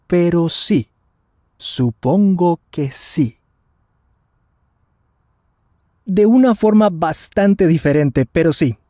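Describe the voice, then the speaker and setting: A man acts out a polite male traveler in a language course dialogue. His voice is fairly high and mellow, and he delivers short learner phrases very slowly and carefully, in a dry recording. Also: no echo. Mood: agreeable. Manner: deliberate, carefully enunciated. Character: polite Canadian traveler practicing his Spanish. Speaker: voice actor in language lesson dialogues. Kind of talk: scripted learner dialogue, each phrase said slowly then at normal speed.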